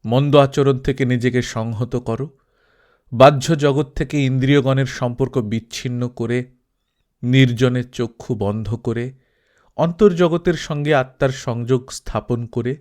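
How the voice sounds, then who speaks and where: A man voicing a calm old Sufi saint. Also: mid-range voice, measured pace, lightly acted. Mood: gentle. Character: elderly male Sufi saint, calm and wise.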